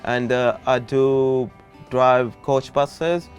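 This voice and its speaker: Relaxed man, music underneath. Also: medium pitch, very slow. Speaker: part-time bus driver. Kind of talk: interview soundbite for a promo video. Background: background music.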